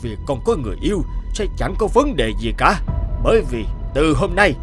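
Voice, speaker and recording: A man's voice: on the high side, big pitch swings, normal speed. An actor playing a proud, domineering father in a fairy-tale cartoon. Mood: angry. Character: proud, overbearing father of a village girl. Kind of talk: animated fairy-tale acting. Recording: music behind the voice.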